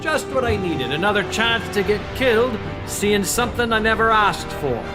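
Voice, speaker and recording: Audio drama casting: sardonic, fed-up female submariner, acted by a woman, highly varied intonation, big music bed. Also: animated, normal speed, lightly acted. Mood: bitter sarcasm. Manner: sardonic, sharp-tongued. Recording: loud dramatic music under the voice.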